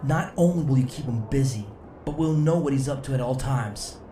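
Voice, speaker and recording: An actor playing a cautious senior commander in a sci-fi audio drama, measured and authoritative. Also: male voice, mid-range voice, dark tone, lightly acted. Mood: stern. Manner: measured, firm. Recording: echoey room, clear ambient noise.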